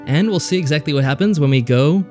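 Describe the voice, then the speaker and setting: A man's voice: on the high side, fast, a little mellow. Wry man hosting a let's play. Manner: wry, sarcastic asides. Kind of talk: casual game commentary. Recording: music behind the voice.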